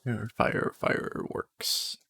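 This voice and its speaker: A man's voice: takes it slowly, sharp tone, a little hiss or hum. A man giving casual gameplay commentary as a game streamer.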